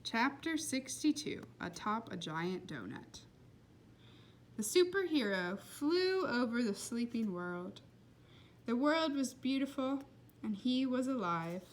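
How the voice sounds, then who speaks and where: A woman hosts a read-aloud for young listeners. Her voice sits a bit high and moves with lively, varied intonation, engaged in the telling. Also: slow. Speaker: read-aloud host and storyteller. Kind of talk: expressive children's book read-aloud.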